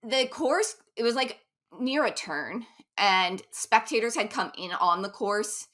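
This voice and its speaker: A woman coach chatting in an upbeat, easygoing way. Her voice is bright and forward, with quick asides. She sounds like she is talking through her race experiences with a friend. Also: a little high, lively intonation. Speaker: running coach. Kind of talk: casual interview chat.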